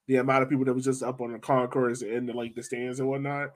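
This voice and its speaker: A man's voice: mid-range voice, flat delivery, quick pace. A man hosting a casual sports podcast, talking in an informal, colloquial, emphatic way. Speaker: podcast host.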